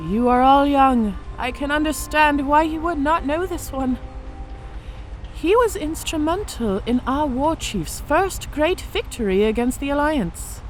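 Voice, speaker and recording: An actress playing a calm female orc centurion and mage, gentle yet authoritative, in a fantasy audio drama. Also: high-pitched, poor audio.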